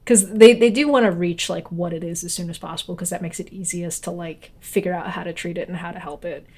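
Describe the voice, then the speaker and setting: A woman co-hosting a podcast in casual conversation, with halting, informal phrasing that trails off. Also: on the low side, steady tone, darkish tone, slightly rough. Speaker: podcast co-host.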